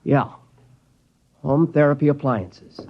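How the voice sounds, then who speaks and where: An actor playing an anxious man fighting a homicidal urge, nervous, urgent and halting, with an American accent, in mid-century radio drama style. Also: on the high side. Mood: tormented. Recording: dull vintage radio recording.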